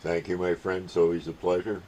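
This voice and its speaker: A man, chatting casually and folksily as a CB radio hobbyist, with rambling, hesitant pauses. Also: low voice, flat delivery, warm tone, slight background noise. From United States. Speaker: amateur CB radio operator and vlogger. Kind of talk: casual vlog chat and radio contact calls.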